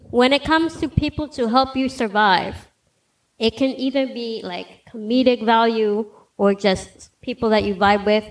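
A woman's voice: on the high side, rough recording. Playful young woman hosting a chat. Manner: playful, teasing, quick reactions. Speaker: podcast host. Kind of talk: casual group chat.

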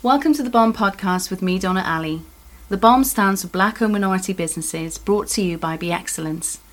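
A middle-aged woman hosting a podcast in a conversational interview style. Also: mid-range voice. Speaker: podcast and radio host interviewing a guest.

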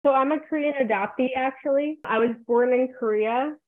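A woman in her twenties from New Jersey, a podcast guest chatting in a hesitant, soft-spoken way and trailing off often. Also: high-pitched, fairly quick. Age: 20s. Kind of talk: casual interview chat. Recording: tinny phone-like call audio.

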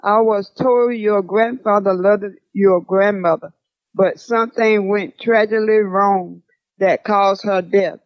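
A woman playing a reflective, grieving older grandmother in an audio drama, speaking solemnly and deliberately. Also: medium pitch, steady tone, no room sound, highs cut off, lightly acted. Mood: pensive. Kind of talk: audio drama acting, diary poem recitation.

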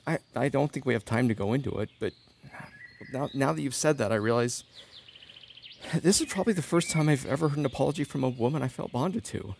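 A man playing a gentle, vulnerable young man in a naturalistic podcast drama, with a halting, informal way of talking. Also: mid-range voice, gravelly, slight background noise, natural voice. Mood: moved, hesitant. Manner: halting, soft-hearted, informal. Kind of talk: naturalistic fiction podcast acting.

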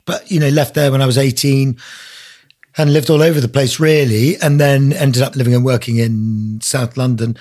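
A man's voice: mid-range voice, fairly even intonation, moderate pace, darkish tone. A middle-aged man from the Isle of Man answering interview questions in a discursive, descriptive, conversational way. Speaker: author, interview guest. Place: study at home, indoors. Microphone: video call.

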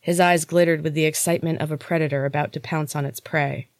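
A woman's voice: low-pitched, steady tone, fast talker, no echo, studio quality. A woman hosting a story podcast and reading fiction aloud as a narrator. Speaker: author and podcast host. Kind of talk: storytelling narration of own novel.

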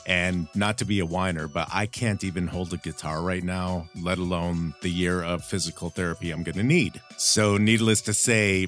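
A man's voice: sits low, a bit bright. A man hosting a podcast in casual chat, with a wry, blunt manner. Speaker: podcast host. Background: background music.

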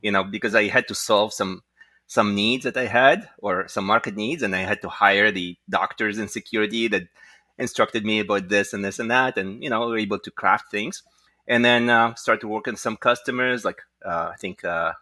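A man speaking as a guest entrepreneur, telling his story conversationally with frequent halting asides. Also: fairly low pitch, steady tone, bright, forceful tone, no echo. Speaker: guest, tech entrepreneur and inventor.

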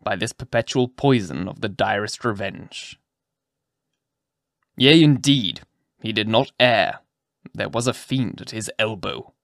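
A man's voice: fairly low pitch, animated, a little slow, vocal fry, fairly bright tone, no echo, studio quality, heavily acted. Man playing a bitter old vengeful physician. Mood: bitter, fierce. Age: older. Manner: archaic, solemn, theatrical delivery.

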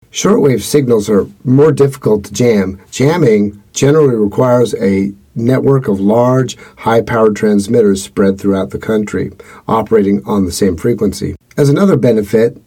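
A man hosting a podcast, reading a scripted explanation in an earnest, measured way. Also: fairly low pitch, mellow, breathy edge. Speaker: podcast host.

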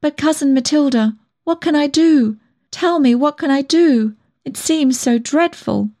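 A woman's voice: high-pitched, a little slow, mellow, pitched above the performer's own voice. A woman voicing an emotional, hot-tempered young woman in a storybook, fervent and pleading.

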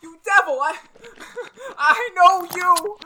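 A man playing a terrified, simple, loyal helper in a radio horror play, stammering and shrill. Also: animated, takes it slowly, bright, forceful tone, a little hiss or hum, exaggerated voice. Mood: defiant fear. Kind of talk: old-time radio horror acting.

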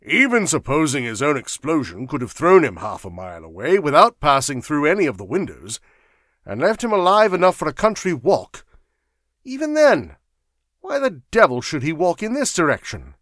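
A man voicing an impatient gentleman investigator in a storybook, questioning emphatically and in exasperation. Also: medium pitch, very animated, normal speed, dry recording, clean studio sound, pitched above the performer's own voice. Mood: astonished.

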